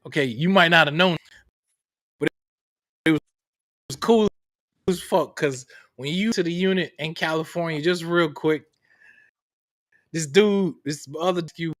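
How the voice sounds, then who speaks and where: A man's voice: a little high, unhurried, long pauses, a bit bright, no echo, studio quality. A man hosting a casual interview over a video call from home, swearing freely, apologizing, and joking around. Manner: profane, apologetic, loose and joking. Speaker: host. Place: home setup in Florida.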